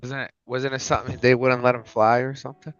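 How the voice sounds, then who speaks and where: A man's voice: mid-range voice, level tone, fast, smooth, lo-fi sound. A man chatting casually as a guest on a live stream, laid-back, reacting with short remarks and casual swearing. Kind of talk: casual chat.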